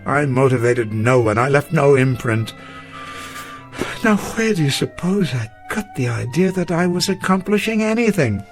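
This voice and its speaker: A man's voice: mid-range voice, big pitch swings, normal speed, soft background music, lightly acted. An older man acting in a radio drama as a weary old professor full of regret, speaking in a formal, literary way. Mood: despairing regret. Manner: formal, literary, reflective speech.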